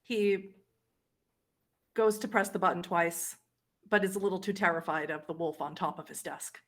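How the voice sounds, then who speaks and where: A woman narrating a tabletop roleplay game as game master, with measured, descriptive storytelling. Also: on the low side, fairly even intonation, a bit bright.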